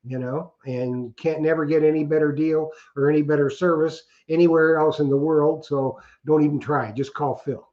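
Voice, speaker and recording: A man's voice: on the high side, fairly even intonation, normal speed, hardly any pauses. Folksy rambling co-host man, lo-fi sound. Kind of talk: casual livestream chat.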